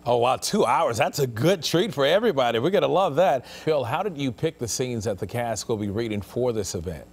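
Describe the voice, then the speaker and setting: A man's voice: mid-range voice, expressive, a little fast. A middle-aged American man presenting upbeat morning TV news in an effusive manner. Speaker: news anchor. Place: TV news studio. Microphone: lapel mic.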